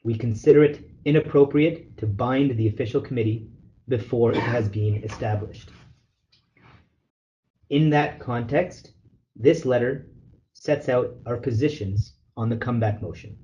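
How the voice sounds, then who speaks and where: A man lawyer reading a formal legal letter aloud on a conference call, in a measured, formal way. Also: medium pitch, dark tone. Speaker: lawyer, representative counsel leading a call. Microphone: telephone conference call. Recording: dull conference phone call with noise.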